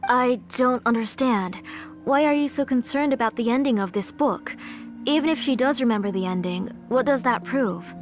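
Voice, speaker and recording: Woman acting a higher-pitched, composed, controlling aunt. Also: normal speed, soft background music, natural voice. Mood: defensive. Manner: composed, formal. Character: polite, protective, controlling aunt with a steely edge. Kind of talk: video game voice acting.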